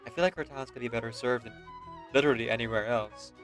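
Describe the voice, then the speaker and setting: A woman's voice: extremely low pitch, quick pace. A woman streaming a game, casually reacting and chatting as she plays. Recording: faint game music behind.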